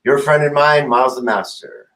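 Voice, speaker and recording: A man's voice: mid-range voice, lively intonation, normal speed, fairly bright tone. A man in his fifties hosting a talk show, effusive and rambling as he chats with a guest. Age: 50s. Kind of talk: casual interview chat.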